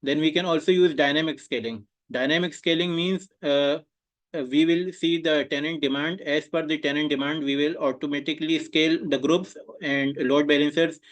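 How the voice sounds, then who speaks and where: A young man delivers an exam presentation over a video call, his voice sitting a little high. He pushes through each point hesitantly, filling the pauses with frequent uhs. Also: steady tone. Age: young adult. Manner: hesitant, many filler uhs. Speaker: student presenter.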